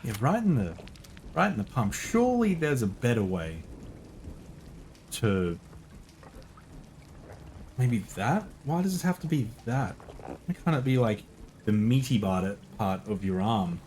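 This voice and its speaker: A man's voice: mid-range voice, sing-song, measured pace, long pauses, darkish tone, slight background noise. A male streamer giving casual gameplay commentary, thinking aloud and muttering to himself.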